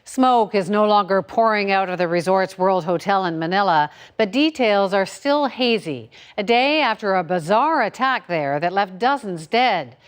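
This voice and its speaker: Lively-voiced woman anchoring the news. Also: mid-range voice, normal speed, hardly any pauses, smooth. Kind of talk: scripted news reading.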